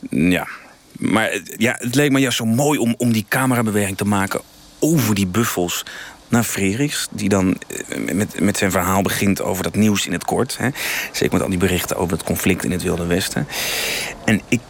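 A man plays a frustrated television director with grand film ambitions in a satirical radio interview. His voice sits fairly low and he rambles earnestly, hesitating and repeating himself. There is faint noisy ambience behind him. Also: moderate pace, close to an everyday voice. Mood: earnest explaining. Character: earnest, frustrated male TV news director with cinematic dreams. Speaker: interview guest.

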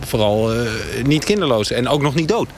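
A man playing a politely persistent radio reporter, halting and matter-of-fact, in a satirical street interview. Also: medium pitch, sing-song, close to an everyday voice. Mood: persistent, dry. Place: outdoors on a shopping street. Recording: noisy outdoor street.

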